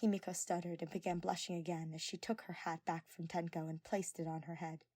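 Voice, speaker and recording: A woman's voice: lowish voice, level tone, brisk, warm tone, no room sound. Woman narrating in a very dry recording. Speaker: podfic narrator. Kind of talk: scripted story narration.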